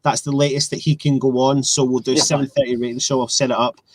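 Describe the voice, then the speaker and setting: A man's voice: mid-range voice, little pitch movement, quick pace, no room sound. A young Scottish man hosting a casual live fan stream, chatting and reading out viewer comments over a video call. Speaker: host.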